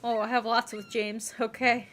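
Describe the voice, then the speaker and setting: A woman's voice: a little high, level tone, moderate pace, a bit bright, slight background noise. A woman livestreaming a game, chatting and rambling casually and reading things aloud as she plays. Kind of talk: casual stream chat and game commentary.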